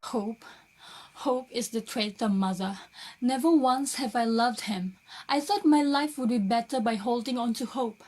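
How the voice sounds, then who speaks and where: Woman acting long-suffering young wife, highish husky voice, radio drama, won't give in. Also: a little slow, a little mellow, poor audio. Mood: bitter. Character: suffering, resolute young wife and expectant mother.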